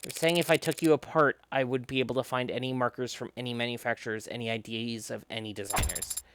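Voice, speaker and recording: Jaded lawyer woman voiced by a streamer, low and dry. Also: fairly even intonation, quick pace, noisy background, natural voice. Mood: dry, sardonic. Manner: dry, wry, world-weary. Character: jaded, sardonic female criminal defense lawyer. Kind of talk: visual novel dialogue and inner monologue. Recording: loud music under voice. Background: loud music.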